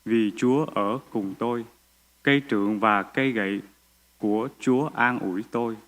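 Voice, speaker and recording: A man reading a psalm aloud in a church service with steady, even recitation. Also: lowish voice, fairly quick. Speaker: scripture reader. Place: church sanctuary.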